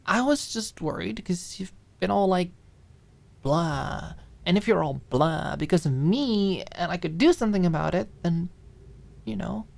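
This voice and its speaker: A woman's voice: lowish voice, lively intonation, unhurried, creaky, darkish tone, voice pushed up, exaggerated voice. A woman voicing a bubbly, caring young woman in an audiobook drama, with casual, playful slang. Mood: gentle, reassuring. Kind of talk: dramatic audiobook character acting.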